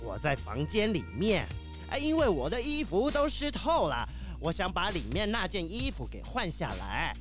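A man's voice: sits high, wildly swooping pitch, moderate pace, fairly bright tone, faint music behind, full character voice. A man playing a fussy, self-righteous kiwi bird professor in a children's audio drama, pedantic and defensive. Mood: defensive, indignant. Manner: pedantic, indignant, hesitant interjections.

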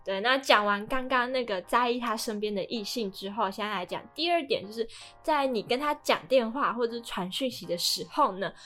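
A woman's voice: fairly high pitch, fairly quick. Young woman chatting casually with music behind. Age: young adult. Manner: animated storytelling, acts out quoted remarks. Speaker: podcast co-host. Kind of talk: casual conversational podcast chat.

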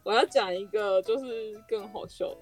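A woman's voice: mid-range voice, moderate pace, music in the background. A woman hosting a casual podcast chat in an enthusiastic, self-deprecating way. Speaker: podcast host.

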